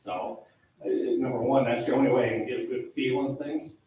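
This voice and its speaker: A man, older, a town board official talking loudly and rambling at a public meeting. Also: mid-range voice, fairly even intonation, brisk. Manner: loud, chatty, rambling. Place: town hall meeting room. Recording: echoey hall, distant mic, faint noise.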